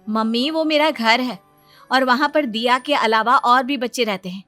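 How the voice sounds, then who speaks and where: A woman playing a patient young Indian daughter-in-law in a cartoon family story, politely reasoning in measured phrases. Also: a little high, pushed and bright, soft background music. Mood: gently protesting.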